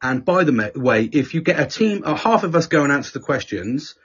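A middle-aged man with a husky, fairly high voice tells a story to a podcast co-host. His talk is loose and sweary, and he slips into voices for the people he quotes. The recording sounds dull and lo-fi. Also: very animated, a little fast, a bit bright. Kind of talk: casual storytelling chat.

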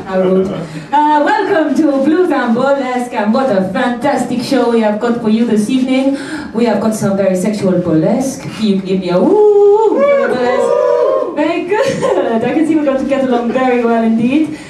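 A woman's voice: a little high, big pitch swings, moderate pace, noisy background. A young woman hosting a cabaret show, teasing the audience with cheeky, mock-haughty banter on a handheld mic. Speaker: cabaret host. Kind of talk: comic stage banter with the audience. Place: small cabaret club stage. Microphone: handheld mic, filmed from the audience. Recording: roomy club sound from a distant camera, loud band.

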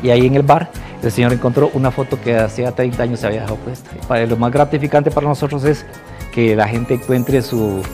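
A middle-aged Costa Rican man speaks informally in an interview. His pitch is average for a man, and music plays clearly behind him. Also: fairly even intonation, fast. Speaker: restaurant staff interviewee. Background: background music.

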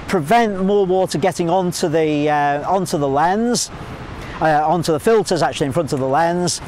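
A man's voice: high voice, a little hiss or hum. A middle-aged man teaching a photography technique outdoors by a waterfall, explaining step by step and thinking aloud with small fillers. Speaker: photography tutor. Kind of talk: outdoor instructional talk to camera. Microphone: clip-on mic, camera at mid distance.

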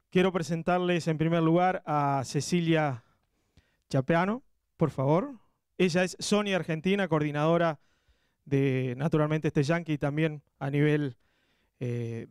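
Smooth formal man hosting a welcome. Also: on the high side, no room sound, studio quality. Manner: formal, ceremonious introductions. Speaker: event host. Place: cinema stage. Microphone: handheld mic.

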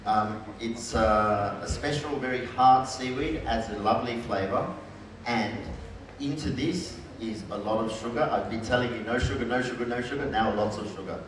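A man's voice: mid-range voice, normal speed. A middle-aged man, a chef, explaining a live cooking demonstration step by step to an audience. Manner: relaxed, explains step by step in short phrases. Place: large hall with a stage and audience. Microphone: headset mic. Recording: very echoey hall, heard from a distance, with audible room noise.

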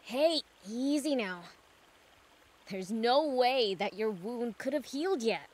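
A woman's voice: a little high, lively intonation, takes it slowly, darkish tone. A woman voicing a cocky, impish young demon prince in a video game, brash and scrappy.

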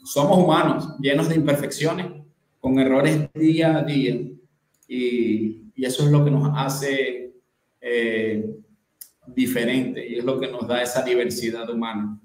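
A man in his thirties speaks earnestly as a guest on a video call, in a mid-pitched voice. He often restarts and repeats himself as he goes. The room around him is noticeably echoey. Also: steady tone, a little slow, darkish tone. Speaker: guest network specialist. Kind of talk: casual video-call interview. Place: bright indoor room.

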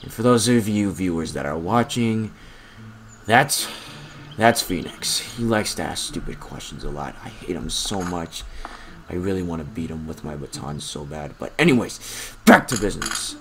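A man's voice: sits low, lively intonation, normal speed, creaky, fairly bright tone, slight background noise, exaggerated voice. A man voicing a resentful, scheming male cartoon villain who addresses his viewers in a spiteful mutter.

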